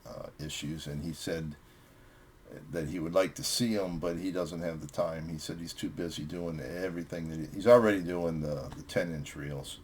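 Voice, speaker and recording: A man's voice: low-pitched, fairly even intonation, moderate pace, a little mellow, slight background noise. A man hosting a music vlog, chatting casually as he reviews records with friends.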